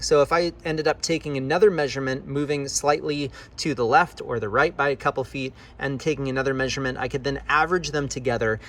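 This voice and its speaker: A man's voice: medium pitch, a bit bright. A man presenting an explanatory tutorial as an audio engineer, talking step by step in an instructive way. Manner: instructive, step-by-step, checks in with "okay?".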